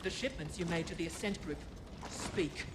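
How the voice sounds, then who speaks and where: A woman's voice: fairly low pitch, moderate pace, noisy background, close to an everyday voice. An actress playing a cold, formal and condescending female corporate operative in a cyberpunk video game. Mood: cool, menacing. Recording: echoey hall with music behind.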